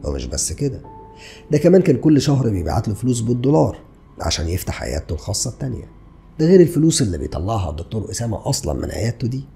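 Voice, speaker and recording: Fast, gravelly Egyptian man telling true crime. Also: mid-range voice, big pitch swings, warm tone, faint music behind. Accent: Egyptian Arabic. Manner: addresses listener directly with rhetorical questions. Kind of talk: dramatic true crime narration. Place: small dark studio indoors. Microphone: podcast mic on stand in front.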